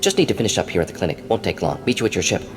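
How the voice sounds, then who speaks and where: Clipped alien scientist, swooping intonation. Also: male voice, fairly low pitch, big pitch swings, rapid-fire. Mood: businesslike. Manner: clipped telegraphic phrases, dropped articles. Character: brisk, clinical, aging male alien scientist doctor. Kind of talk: video game character dialogue. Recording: long hall reverb, game music behind. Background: game soundtrack music.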